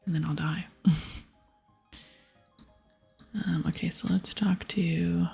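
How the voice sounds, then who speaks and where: Female ASMR gaming host: extremely breathy whisper with vocal fry, casual thinking aloud, soft music underneath. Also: fairly low pitch, steady tone, slow pace. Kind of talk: whispered ASMR game commentary.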